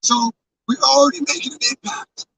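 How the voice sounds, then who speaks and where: A hoarse man losing his voice, talking casually and earnestly to his live-stream chat, rambling with fillers and restarts. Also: very high-pitched, normal speed, fairly bright tone, distant mic, low-quality recording. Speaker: live streamer with a truck convoy. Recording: off-mic with faint background noise.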